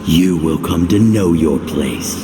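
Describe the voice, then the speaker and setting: Slow, low-voiced theatrical villain. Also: male voice, animated, background noise. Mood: menacing. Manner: grandiose, mocking, deliberate oratory. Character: sinister, theatrical, gloating godlike entity of despair. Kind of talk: theatrical video game villain acting. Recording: loud music under the voice.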